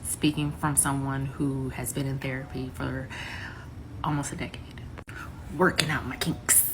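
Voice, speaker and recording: Low-voiced woman giving earnest advice. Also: flat delivery, noisy background. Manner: measured, earnest, lecturing. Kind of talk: response video commentary.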